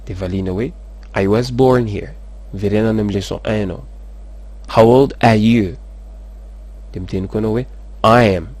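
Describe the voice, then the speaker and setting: A man teaching English, saying model sentences slowly and switching quickly into rapid explanations. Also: on the low side, a little mellow. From Madagascar. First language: Malagasy. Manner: slow, careful model sentences between fast explanations. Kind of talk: language lesson, explaining in Malagasy and French.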